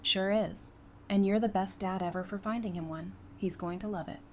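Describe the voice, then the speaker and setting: A woman's voice: mid-range voice, steady tone, normal speed, natural voice. Actress as supportive female friend, casual, teasing, familiar; audio drama, faint noise behind. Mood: teasing, fond. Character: caring, teasing woman, supportive wife and friend.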